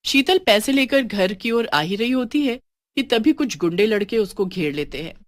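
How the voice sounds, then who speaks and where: A woman's voice: fairly high pitch, animated, normal speed, dry recording. A woman storyteller giving scripted, dramatic narration of a horror tale.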